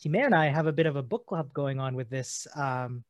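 A man's voice: medium pitch, animated, no room sound. A man co-hosting a podcast, talking earnestly and restarting his phrases often as he thinks aloud. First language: not German. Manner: earnest, halting with many restarts. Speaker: podcast co-host. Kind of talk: conversational podcast discussion.

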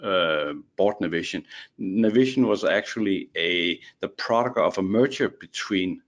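A man's voice: low-pitched, a little slow. A man from Denmark with a Danish accent, a guest on a casual podcast chat, who restarts and repeats words often.

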